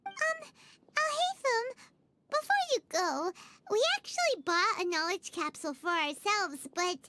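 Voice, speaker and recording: A woman voicing a tiny, excitable, nosy fairy girl in a video game, with a squeaky childlike voice and exclamatory delivery. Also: measured pace, very noisy. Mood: curious. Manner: exclamatory, refers to self in third person. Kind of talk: scripted video game dialogue.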